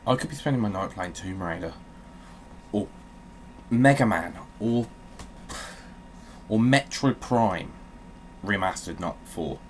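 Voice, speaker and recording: A man's voice: fairly low pitch, lively intonation, takes it slowly, pauses often. A man hosting a casual gaming let's-play, grumbling drily and mocking himself. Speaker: video game show host. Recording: clear background noise.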